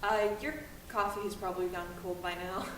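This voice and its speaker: A young woman playing a guarded, anxious grown daughter who talks in halting, hesitant bursts with her mother. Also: mid-range voice, fairly even intonation, natural voice. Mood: quiet. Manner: hesitant, halting, then heated. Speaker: college student. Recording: echoey room, distant mic, noisy ambience.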